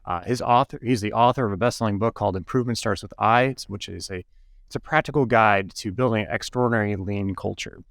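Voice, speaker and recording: A man's voice: fairly low pitch, fast talker, vocal fry, a bit bright, no room sound, clean studio sound. A man hosting a podcast in an enthusiastic, upbeat way, both chatting and reading promos. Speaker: podcast host.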